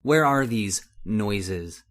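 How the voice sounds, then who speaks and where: A man playing a selfish, middle-aged scientist husband in a radio horror play. His pitch swings widely as he talks, stern and impatient, with a condescending edge to his words. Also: animated, very slow, a bit bright, heavily acted. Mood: scornful, impatient. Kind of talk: old-time radio horror acting.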